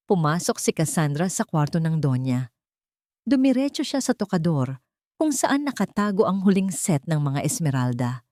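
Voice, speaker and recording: Woman, lowish pitch, dramatic scripted narration, very little room sound. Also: a little mellow, dry recording, studio quality. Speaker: story narrator.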